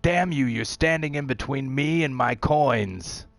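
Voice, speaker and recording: A man's voice: mid-range voice, a little slow, gravelly, no echo. A man giving rambling, self-deprecating commentary while streaming a video game. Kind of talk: casual gameplay commentary.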